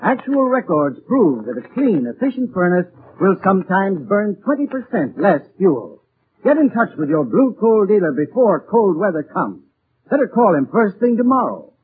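High-pitched male vintage radio pitchman. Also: big pitch swings, moderate pace. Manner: formal, persuasive. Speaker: sponsor's heating expert in radio advert. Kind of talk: 1940s radio advertising pitch. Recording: muffled, tinny 1940s radio recording.

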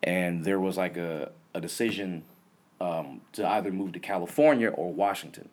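A man's voice: sits low, normal speed, darkish tone. A man born in Vietnam, talking casually and telling stories in a laid-back, slangy way that often breaks into laughter. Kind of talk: casual chat.

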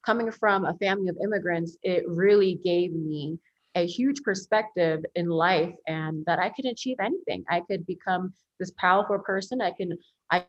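A woman's voice: on the low side, moderate pace. Earnest woman attorney, dull lo-fi sound. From United States. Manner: earnest, many ums and you-knows. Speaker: attorney, interview guest. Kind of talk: podcast interview.

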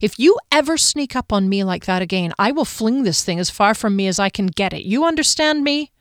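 A woman voicing a fiery, quick-tempered young woman rider in an audiobook, blunt and demanding. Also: a little high, very animated, no room sound, clean studio sound, pitched above the performer's own voice. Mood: angry, demanding. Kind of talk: audiobook character dialogue.

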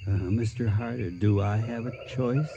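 Very dark-voiced, sardonic American man. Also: lowish voice, vocal fry, muffled recording, slight background noise. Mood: skeptical, sardonic. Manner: wry, halting, conversational. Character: skeptical, sardonic middle-aged American tourist on safari. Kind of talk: radio drama acting.

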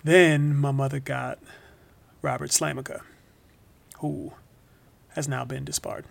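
A male actor playing a wrongly imprisoned young man telling his story in a halting, reflective monologue for a documentary audio drama. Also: mid-range voice, little pitch movement, creaky. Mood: weary, confused.

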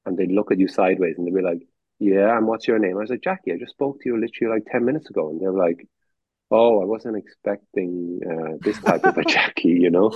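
A man's voice: deep voice, fast talker, husky, dry recording. A man in his forties with an Irish accent, a guest telling easygoing stories over a video call, often stumbling and repeating words. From Ireland. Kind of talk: casual interview chat. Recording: tinny dry call audio.

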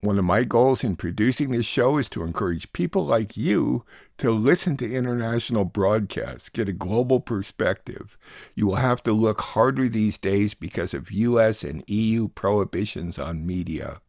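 A man's voice: fairly low pitch, moderate pace, gravelly, a little mellow, no room sound, clean studio sound. A man hosting a radio program, presenting news summaries and listening notes in scripted narration. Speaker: radio show host and producer.

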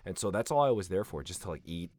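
A young man from the States, chatting as a guest and getting animated as he tells his stories. His voice sits a bit low, and he jumps up in pitch when he quotes people. The sound is dry and close, with hardly any room. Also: fast talker, no echo. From United States. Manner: animated, laughing storyteller who acts out quotes in a higher voice. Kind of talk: casual storytelling interview.

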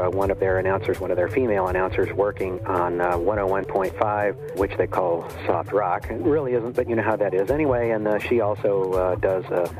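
Low-voiced male listener giving meandering, hesitant radio commentary over clearly audible music. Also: a little mellow, poor audio. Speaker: listener leaving recorded comments for a radio show. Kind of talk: rambling casual radio commentary.

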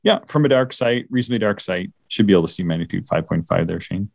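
A man with a very low voice talking casually about the night sky, wandering through his thoughts with lots of fillers and restarts. The recording is close and dry, with no room sound. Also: animated, quick pace, creaky, no room sound. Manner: rambling, hesitant, many fillers and restarts. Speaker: podcast co-host. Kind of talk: casual astronomy chat.

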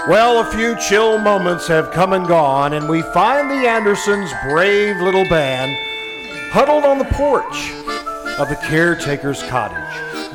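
A man playing a cheerful 1950s radio announcer, folksy in his sales patter and mock-dramatic in his storytelling. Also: high voice. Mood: mock-suspenseful. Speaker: announcer and narrator. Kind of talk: 1950s old-time radio announcing and sponsor pitch. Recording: music behind, live stage radio play.

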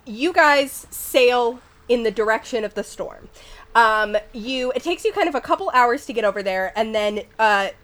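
A young woman running a tabletop game as game master, playful and quick to laugh. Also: on the high side. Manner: playful, laughs often, lively asides.

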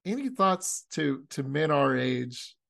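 A man in his late forties hosting a faith podcast, musing earnestly and murmuring soft agreement. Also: a little high, expressive, takes it slowly, gravelly. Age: 40s. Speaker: podcast host. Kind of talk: conversational interview.

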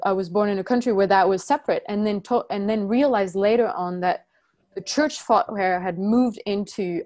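Woman, from France, workshop facilitator, halting, frequent restarts, explaining slowly. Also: medium pitch, darkish tone. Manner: halting, many restarts and self-corrections. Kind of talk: teaching a group, reading from notes.

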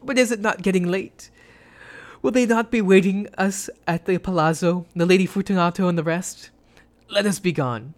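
A man's voice: high voice, normal speed, voice pushed up, lightly acted. A man voicing a terrified chained nobleman who laughs shrilly and pretends it is all a joke. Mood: hysterical.

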